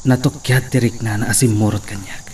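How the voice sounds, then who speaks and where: A man playing a worried, loyal young servant in a radio drama, with an anxious, hushed manner. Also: on the low side, steady tone, brisk, a little hiss or hum, natural voice. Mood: troubled. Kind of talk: radio drama acting with inner monologue.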